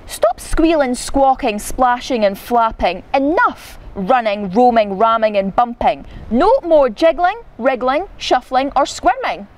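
A woman's voice: a little high, big pitch swings, unhurried, few pauses. A woman voicing a mischievous, fidgety young schoolgirl in a children's storybook, with a plaintive, exclaiming manner. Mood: bossy, shouting.

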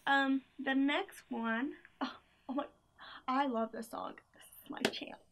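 A young woman talks through a playlist in a relaxed, chatty way, stacking up fillers and small giggly asides. Her voice sits high and carries plenty of gravelly fry. She is recorded on a camera mic in a small indoor room. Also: unhurried. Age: young adult. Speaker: playlist reviewer. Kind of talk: casual chat.

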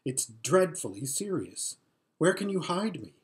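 A runaway girl of noble birth from a storybook, played by a woman reader. She is fierce, serious and bossy. Her delivery is urgent and insistent, with strongly varied pitch. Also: sing-song, measured pace. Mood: serious, anxious. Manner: hissing, insistent, commanding.

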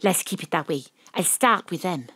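Husky Welsh woman detective. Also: lowish voice, lively intonation, normal speed, a bit bright, no room sound, clean studio sound. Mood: businesslike, determined. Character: wry, dogged female private detective, proudly Welsh. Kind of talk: audio drama dialogue and first-person detective narration.

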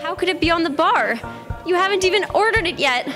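A young woman playing an excitable, argumentative tentacled alien in a staged audio drama. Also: extremely high pitch, brisk, music in the background. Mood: baffled, argumentative. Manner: theatrical, argumentative. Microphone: stand microphone on a theatre stage.

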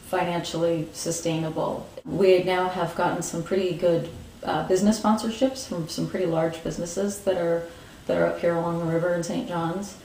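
A woman, answering interview questions thoughtfully with pauses and restarts, seated in a room with bookshelves. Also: lowish voice, fairly even intonation, darkish tone, echoey room, recorded from a distance, slight background noise, low-quality recording. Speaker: market organizer.

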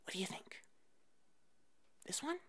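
Slow whispering woman in an ASMR roleplay. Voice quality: breathy whisper. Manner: soft-spoken, unhurried, murmuring short reassuring phrases. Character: fuzzy monster puppet hairdresser, attentive and easygoing. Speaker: ASMR roleplayer playing a hairdresser.

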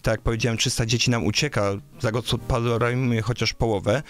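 A man's voice: medium pitch, steady tone, brisk. A man in his thirties, a local election candidate, answering interview questions in a radio studio with many hesitations and filler sounds. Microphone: studio microphone on a boom arm.

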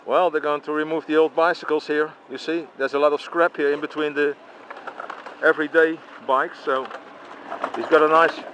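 A man's voice: on the high side, level tone, moderate pace, fairly bright tone. Chatty Dutch man filming outdoors. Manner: chatty, chuckling, exclaims often. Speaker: vlogger filming with handheld camera. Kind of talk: casual walking commentary. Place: outdoors at a busy bike parking by a street. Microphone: handheld camera mic. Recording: noisy outdoor street recording.

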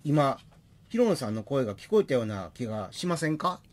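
A man's voice: mid-range voice, big pitch swings, a little fast, natural voice. A man playing an eager, sociable accountant in a comic radio drama.

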